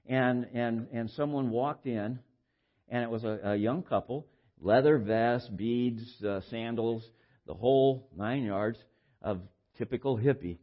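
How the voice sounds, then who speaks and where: An older man with a baritone voice preaching informally, halting and repeating words as he shares personal stories in a conversational way. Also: muffled recording. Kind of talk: informal sermon with personal anecdotes.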